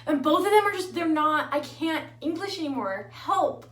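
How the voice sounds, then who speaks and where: Female beauty vlogger, upbeat and chatty, high-pitched, recorded in a roomy space. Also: animated, normal speed, a bit bright. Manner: chatty, upbeat, lots of "like" fillers. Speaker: makeup vlogger. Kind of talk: casual makeup tutorial. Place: at home. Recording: echoey room.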